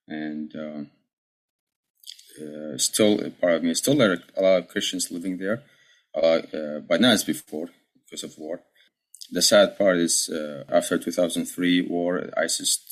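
A man in his forties, born and raised in Iraq, answering interview questions as a deacon, measured and full of pauses and restarts. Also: deep voice. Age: 40s. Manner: measured, many fillers and restarts. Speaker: deacon, podcast guest.

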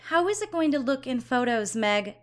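A woman's voice: sits high, sing-song, moderate pace. A young woman playing a catty, condescending rich socialite in an audio drama, with a snide, gossipy, sarcastic drawl. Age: young adult. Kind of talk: audio drama acting, conversational.

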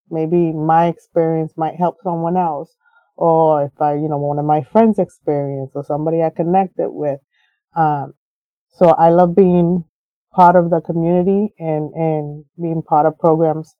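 A woman guest on an interview, talking casually and haltingly with many fillers and restarts. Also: deep voice, dry recording, muffled recording. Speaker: program member guest.

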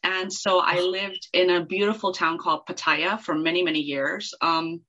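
A woman's voice: fairly low pitch, steady tone, normal speed, pushed and bright. A middle-aged woman from Germany who grew up abroad, chatting casually as a podcast guest on slightly lo-fi audio. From born in Germany, raised in Kenya and Thailand. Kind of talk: casual animated chat.

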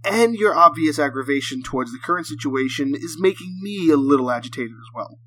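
A man voicing a troubled, hesitant would-be killer in a story, speaking in a measured, probing way. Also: on the high side, lively intonation, pushed and bright, a little hiss or hum, rough recording. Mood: controlled, probing. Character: bereaved, hesitant man with a gun, seeking a kill.

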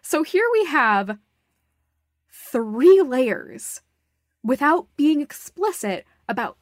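Female podcast co-host, fairly high voice, very dry recording; casual, opinionated, analytical, loose with swearing. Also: very animated, takes it slowly, dry recording. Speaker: podcast co-host discussing a stage musical. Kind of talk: casual, opinionated critical discussion.

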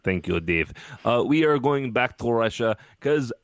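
A man co-hosting a sports podcast, telling jokes in a wry, deadpan way. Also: medium pitch, measured pace, no echo, clean studio sound. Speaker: podcast co-host. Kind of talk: casual comedic sports chat.

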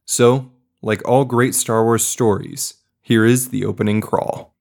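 A man's voice: medium pitch, steady tone, unhurried, vocal fry, a little mellow. A man talking casually as a player in a tabletop roleplaying podcast. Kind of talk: casual game chat.

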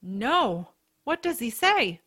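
A woman's voice: fairly high pitch, very animated, slow, bright, forceful tone, exaggerated voice. Earnest, solemn wolf-tribe warrior woman, acted. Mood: awed. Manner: formal, earnest. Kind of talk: audio drama acting.

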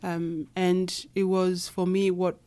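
A woman in her thirties, raised in Kenya with Nuer as her first language, answers interview questions with many pauses and fillers. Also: lowish voice, little pitch movement, mellow. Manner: hesitant, many fillers and restarts. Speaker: guest. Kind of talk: interview conversation.

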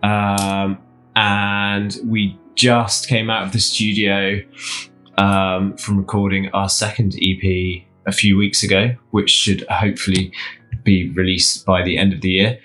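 A man's voice: deep voice, little pitch movement, measured pace, fairly bright tone, soft background music. A man chatting casually as a podcast guest, hesitant, easygoing and agreeable. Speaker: podcast guest, band member. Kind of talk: casual chat.

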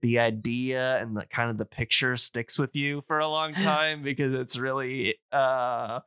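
Man chatting haltingly, clean and smooth. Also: medium pitch, fairly even intonation, bright, forceful tone, no echo, clean studio sound. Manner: halting, hesitant phrasing with repeated words. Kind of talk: casual podcast chat.

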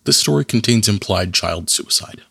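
A man delivers a short scripted advisory as an announcer. His voice is on the low side, with a clear gravelly creak in it. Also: expressive, moderate pace. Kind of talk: scripted content warning announcement.

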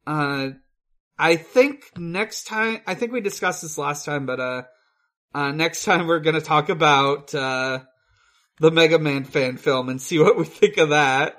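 Slow, halting podcast host. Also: medium pitch, fairly bright tone. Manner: halting, hedging, rambling delivery. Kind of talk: casual film review chat.

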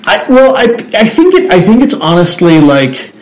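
Central jersey guy rambling on a tangent, kinda high voice, keeps restarting his sentences. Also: sing-song, moderate pace. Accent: Central New Jersey. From New Jersey. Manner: rambling, self-interrupting, restarts a lot. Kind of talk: casual chat.

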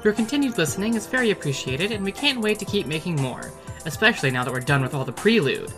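A woman, the project director, reading a scripted outro with credits and links in a steady, friendly way. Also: deep voice.

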